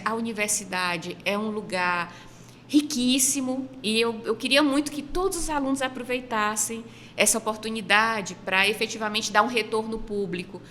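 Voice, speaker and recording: Bright-voiced woman, earnest academic panelist. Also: a little high, a little hiss or hum. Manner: earnest, formal vocabulary, emphatic repetition. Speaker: academic researcher guest. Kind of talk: panel discussion, reflective answer. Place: makeshift studio at a university campus event.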